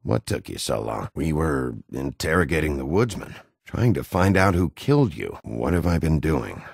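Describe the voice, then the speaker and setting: An actor voicing a gruff, hot-tempered wolf detective in a video game, rough voice, blunt and threatening. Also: male voice, a bass voice, very animated, moderate pace, no echo, clean studio sound, exaggerated voice. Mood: weary, guarded. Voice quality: gravelly, rough voice. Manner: blunt, threatening interrogator, curses freely.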